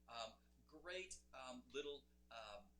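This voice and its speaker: A man's voice: medium pitch, lively intonation, slow pace, sharp tone, slight background noise. A middle-aged man from Canada's Ottawa Valley with a Canadian accent, chatting casually and jokingly as host of a live music show. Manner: jokey, chatty, addressing viewers as guys. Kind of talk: casual live-stream chat.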